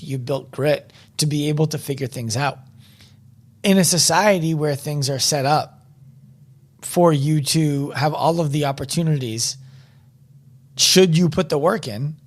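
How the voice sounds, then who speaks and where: Male interviewer, higher register, encouraging, restarts as he thinks. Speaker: podcast host. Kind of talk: casual interview conversation.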